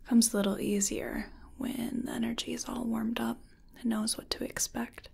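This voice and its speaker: A woman speaking softly and often in a whisper, gently guiding the listener through an ASMR personal attention roleplay as an energy healer. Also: fairly high pitch, little pitch movement. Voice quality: soft, breathy, often whispering. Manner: gentle, unhurried, reassuring. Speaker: ASMR performer playing an energy healing practitioner.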